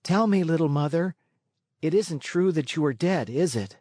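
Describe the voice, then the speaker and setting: A man voicing an eager, naive boy marionette for a storybook, pleading and excitable with a touch of whine. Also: a little high, normal speed, dry recording, clean studio sound, voice pushed up. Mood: tender, pleading.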